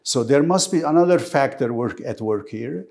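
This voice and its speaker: Measured, formal male interviewer. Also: medium pitch, lively intonation, creaky, darkish tone. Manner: measured, formal introductions with pauses. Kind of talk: interview questions.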